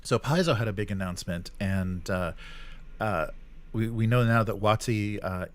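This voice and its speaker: A man co-hosting a gaming news show, casually running through items in a halting, hesitant way. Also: on the low side. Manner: halting, hesitant delivery while presenting. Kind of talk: casual news rundown and chat.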